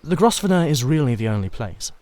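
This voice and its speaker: A man's voice: mid-range voice, sing-song, fast, a little mellow, pitched above the performer's own voice, lightly acted. A languid aristocrat acted by a male reader holds forth in a classic novel reading. He is witty and vain, with a pompous delivery that stretches out the emphatic words. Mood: languid, opinionated.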